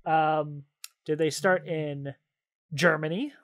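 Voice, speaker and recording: High-voiced playful man hosting a podcast. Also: steady tone, slow. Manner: playful, jokey, laughs often. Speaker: podcast host and quizmaster. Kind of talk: casual chat, reading out trivia cards.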